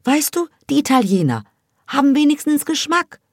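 A woman voicing a scornful, sharp-tongued teenage girl who smokes and mocks others in a storybook reading. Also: low-pitched, lively intonation, takes it slowly, dry recording, studio quality, exaggerated voice. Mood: mocking gossip. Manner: mocking, gossipy, scolding.

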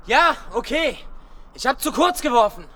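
An actor playing an agitated, cornered soldier in a radio drama, bitter and defiant, blurting coarsely in short bursts. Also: male voice, very high voice, sing-song, normal speed, pushed and bright, exaggerated voice. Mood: shaken, angry. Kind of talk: naturalistic German radio drama acting.

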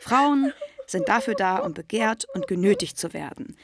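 A woman's voice: mid-range voice, expressive, moderate pace, very noisy, close to an everyday voice. A woman playing a defiant, sardonic young feminist activist delivering a polemical monologue in a radio play. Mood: scornful, impassioned.

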